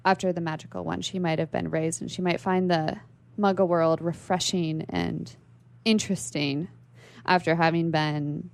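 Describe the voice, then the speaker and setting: A woman with a fairly low voice and plenty of creak talks as a guest on a radio show. She explains literary ideas thoughtfully, in an unscripted conversational style. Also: level tone, moderate pace, no room sound. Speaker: interview guest; library worker.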